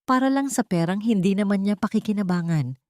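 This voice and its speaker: A woman's voice: mid-range voice, expressive, brisk, a little mellow, no echo, clean studio sound, close to an everyday voice. Woman voicing a callous, scornful dock worker man.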